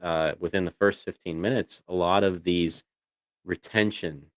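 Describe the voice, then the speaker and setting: A man's voice: low voice, fairly even intonation, a little slow, dry recording, clean studio sound. A man chatting casually as a livestream co-host, talking in a rambling way with lots of "you know"s. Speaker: co-host of a voice tech show.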